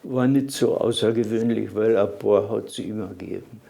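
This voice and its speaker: Gravelly old Carinthian man. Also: lowish voice, fairly even intonation, dark tone. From Carinthia, Austria. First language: Slovene. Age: 80s. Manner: halting, with restarts and fillers. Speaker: interviewee. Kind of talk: reminiscing interview.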